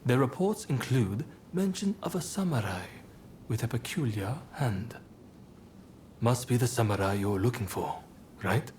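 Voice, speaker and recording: Man as a courteous rebel leader, mid-pitched. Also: animated, darkish tone, slight background noise, lightly acted. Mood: earnest, persuasive. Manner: measured, polite, formal. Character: composed, courteous male rebel clan leader, persuasive. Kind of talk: video game cutscene acting.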